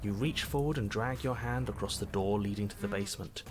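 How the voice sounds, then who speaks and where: An actor playing a stern, aloof male narrator in a video game, speaking in measured, formal, dramatic lines. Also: lowish voice, natural voice. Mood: grave, descriptive. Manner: measured, formal, exasperated when contradicted. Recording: loud background music under voice. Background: game music.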